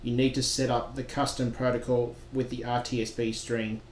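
Flat-toned man narrating software steps, roomy. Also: medium pitch, moderate pace. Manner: plain step-by-step instruction. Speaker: software trainer. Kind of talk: instructional screen-recording narration. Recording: roomy echo with background noise.

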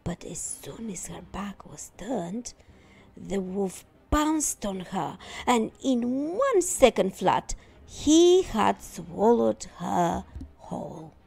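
A woman narrating a children's story expressively, with deliberate emphasis. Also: medium pitch, big pitch swings, creaky, a little mellow, slightly rough. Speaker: storytime reader.

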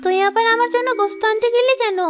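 Woman voicing a very high, plaintive cartoon girl. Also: steady tone, very fast, over music. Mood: surprised, grateful. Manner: plaintive, earnest. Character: poor young girl, pleading, sensitive, earnest. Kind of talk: cartoon voice acting, moral story.

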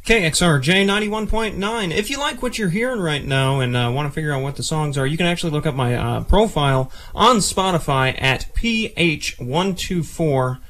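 A man's voice: a little high, moderate pace, few pauses, fairly bright tone, a little hiss or hum. A young man presenting as a campus radio DJ between songs in a casual, chatty way. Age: young adult. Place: small campus radio studio, indoors. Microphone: close studio microphone, headset monitors.

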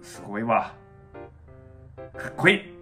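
A young adult man giving casual reaction commentary, excitable and often exclaiming in awe, recorded indoors in a small room. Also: measured pace, music in the background. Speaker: dance reaction channel host.

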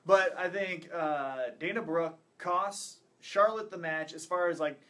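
A man in his thirties with a bright, forceful voice pitched a bit high. He talks casually as a podcast co-host, running through picks and reasoning in a conversational way. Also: unhurried. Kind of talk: casual wrestling predictions chat. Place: small indoor studio with acoustic foam panels.

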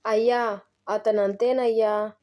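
A woman's voice: fairly high pitch, little pitch movement, takes it slowly, warm tone. A woman voicing a loyal, deferential male village servant in a moral story.

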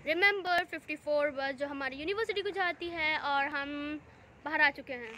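A young woman vlogging with a phone held at arm's length, walking through a mall and then out onto a night street. She speaks simple, halting English and fluent, quick Hindi. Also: high voice, bright, forceful tone, slight background noise. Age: young adult. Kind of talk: casual walking vlog. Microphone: phone held at arm's length, wired earphone mic.

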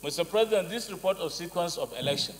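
A man's voice: sits high, animated, fast talker. A man with a Nigerian accent protesting repetitively as a lawmaker in a parliamentary debate. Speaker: senator. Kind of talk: parliamentary objection. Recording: reverberant chamber with loud crowd.

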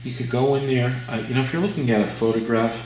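A man's voice: medium pitch, fast talker, darkish tone. Older man teaching painting in an echoey studio. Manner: thinking aloud with fillers and restarts. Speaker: painting instructor. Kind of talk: relaxed painting demonstration. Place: art studio at an easel, indoors. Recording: very echoey room, mic far off, audible noise.

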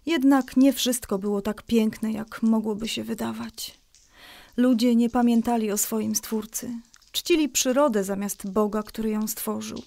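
Woman acting a patient, high-voiced storytelling mother. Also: gravelly, a little mellow, exaggerated voice. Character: gentle mother telling her children a Bible story. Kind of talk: children's radio drama storytelling. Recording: faint music behind the voice.

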